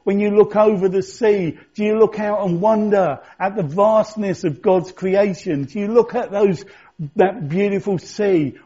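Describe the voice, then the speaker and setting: Pastor, male, light husky voice, relaxed delivery, dull lo-fi church recording, conversational sermon. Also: high voice. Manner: conversational, reflective, rhetorical questions.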